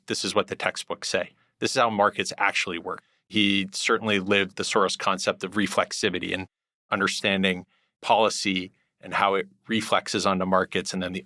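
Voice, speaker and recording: Bright, dry-voiced thoughtful man. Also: on the low side, dry recording. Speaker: guest, hedge fund group head. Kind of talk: podcast interview answers.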